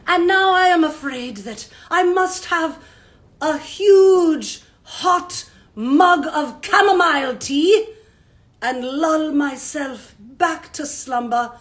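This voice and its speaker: A woman voicing a groggy male owl puppet with a croaky voice and drowsy, old-fashioned politeness. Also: high-pitched.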